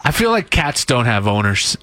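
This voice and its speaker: A man's voice: medium pitch, very animated, moderate pace, bright, forceful tone, no room sound. A man co-hosting a radio show, chiming in with casual banter. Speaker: radio co-host. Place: radio studio. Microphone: close studio microphone.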